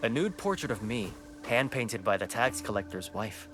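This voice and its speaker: An actor playing a brash, flirtatious outlaw who fights for the poor, in video game cutscene style. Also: male voice, lowish voice, big pitch swings, moderate pace. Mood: playful, sly. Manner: brash, teasing, foul-mouthed. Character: cocky roguish male outlaw, flirtatious, righteous toward the poor. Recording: music behind the voice. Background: music.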